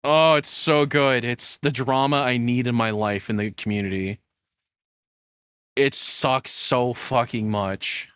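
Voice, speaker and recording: A man's voice: medium pitch, steady tone, slow pace, fairly bright tone, clear, resonant tone, no room sound, clean studio sound. A man chatting casually as a livestreamer, rambling and swearing in an informal way. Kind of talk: casual reaction stream chat.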